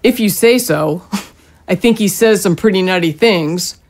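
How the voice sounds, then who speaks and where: A woman playing a fussing, mildly exasperated middle-aged mother in a naturalistic audio drama, gently nagging. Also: fairly low pitch, a little slow. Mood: weary, skeptical.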